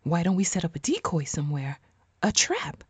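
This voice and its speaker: Husky, decisive woman acting on the run. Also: fairly low pitch, sing-song, no room sound, exaggerated voice. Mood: practical. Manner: brisk, urgent, decisive. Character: level-headed, practical female fugitive leader. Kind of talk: audio drama acting.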